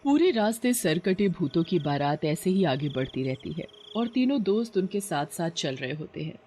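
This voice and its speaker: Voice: mid-range voice, sing-song, normal speed, warm tone, a little hiss or hum. A narrator reading a scripted horror story in a dramatic storytelling style.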